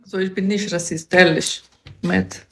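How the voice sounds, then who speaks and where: A young woman in her twenties from Ukraine, with a Ukrainian accent, ranting casually on a livestream in halting, broken German, often asking "weißt du?". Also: lowish voice, fairly even intonation, fairly bright tone. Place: indoor room with a sofa. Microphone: phone at arm's length. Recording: roomy phone recording.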